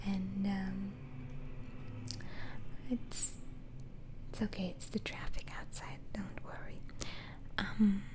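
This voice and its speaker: A woman whispering softly in a slow, hesitant, soothing ASMR roleplay as a gentle, reassuring doctor. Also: medium pitch, slight background noise. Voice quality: soft, breathy whisper.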